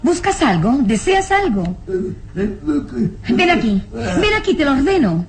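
A woman's voice: high voice, big pitch swings, slow, music in the background, full character voice. An actress playing a young, romantic noblewoman in a melodramatic radio drama, nervous yet commanding, with theatrical delivery. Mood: coaxing, commanding.

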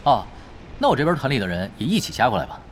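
A man's voice: mid-range voice, wildly swooping pitch, racing, slight background noise. A man playing a cocky, playful young expert gamer in a Chinese audio drama, teasing and nonchalant with a theatrical delivery. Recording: music behind the voice.

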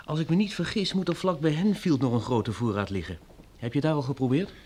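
A man's voice: a little high, lively intonation, brisk, warm tone, breathy edge. Een man die in een hoorspel een praktische huisgenoot speelt die voorzichtig maar volhardend hardop meedenkt. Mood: suggesting. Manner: tentative but insistent, reasoning aloud. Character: persistent male housemate offering eager practical suggestions. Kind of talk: radio drama acting.

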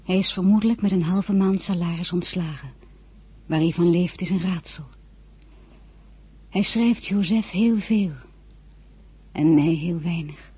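An earnest, impulsive girl of fifteen in a radio play. Her voice is very husky and breathy, with a soft, mellow tone. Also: fairly low pitch, measured pace, pauses often. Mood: wistful. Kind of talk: radio drama acting with diary narration.

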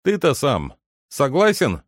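A man's voice: medium pitch, huge pitch swings, slow pace, no room sound, clean studio sound, pitched above the performer's own voice, exaggerated voice. A man voicing an impatient, condescending head butler in a storybook, brusque and commanding as he questions the servants. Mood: calling out. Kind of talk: dramatic literary audiobook character reading.

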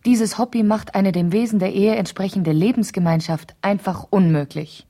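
An actress playing a weary, neglected wife in a radio play, plaintive and formal, with a soft husky voice. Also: female voice, mid-range voice, steady tone, moderate pace, natural voice. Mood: plaintive, grave. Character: neglected, suffering wife, weary and self-dramatizing. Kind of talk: radio play, letter monologue.

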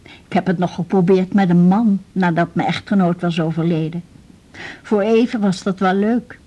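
A woman's voice: lowish voice, steady tone, warm tone, dull audio, close to an everyday voice. An actress playing a frail, talkative old Dutch widow, a patient clinging to her house, in a radio drama. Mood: indignant. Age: older. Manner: chatty, plaintive, with halting repetitions.

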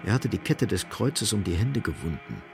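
Low, creaky-voiced male radio play narrator. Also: big pitch swings, moderate pace, faint music behind.

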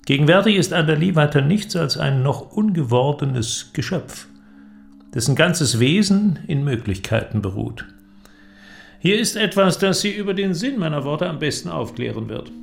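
A man's voice: fairly high pitch, expressive, moderate pace, vocal fry, pitched above the performer's own voice. A man playing a confident, mysterious inventor, solemn and emphatic, in dramatic audiobook dialogue. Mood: assured, explanatory.

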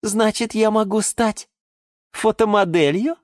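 A woman voicing an excitable, quarrelsome female geranium in a children's story, with shrill, indignant exclamations. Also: a little slow, no echo, clean studio sound, lightly acted. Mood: sly.

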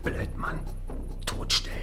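An actor playing a nervous, crude small-town conspirator in a radio crime drama, muttering resentfully and slipping into whispers. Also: male voice, slow pace, music in the background, natural voice. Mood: bitter. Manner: muttering, vulgar, breaking into whispers.

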